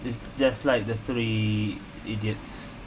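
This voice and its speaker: A woman's voice: very deep voice, fairly even intonation, slow, background noise. A woman giving casual commentary as she streams a video game. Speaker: gaming streamer. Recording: loud game music behind voice.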